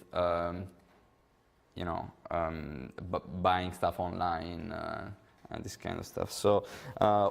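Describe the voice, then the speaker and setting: A man's voice: sits low, creaky. A young man with an Italian accent giving a technical conference talk, explaining at length with many ums and uhs. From Italy. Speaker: conference speaker.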